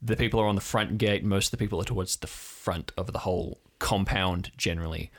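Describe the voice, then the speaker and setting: A man playing a cautious, practical policeman planning a rescue in an improvised audio drama, halting and matter-of-fact. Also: low-pitched.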